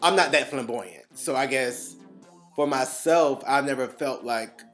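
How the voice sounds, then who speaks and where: A man co-hosting a casual chat podcast, animated and quick to laugh, with faint guitar music underneath. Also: medium pitch. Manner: animated, laughs often, drawn-out emphasis. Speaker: podcast co-host.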